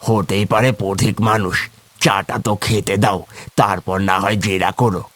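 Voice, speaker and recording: A man's voice: lowish voice, steady tone, normal speed, fairly bright tone, lightly acted. A man voicing a genial, welcoming village tea-stall keeper in a cartoon, hospitable and placating. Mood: genial, soothing. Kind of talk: cartoon character acting.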